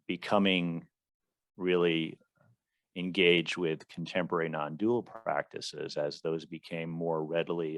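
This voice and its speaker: A man with a very low voice who talks slowly and with care. He is a podcast guest from the Washington, D.C. area, telling his story in a measured, thoughtful way. The recording is close and dry, with no room sound. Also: no echo. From Washington, D.C. area, USA. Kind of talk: interview storytelling.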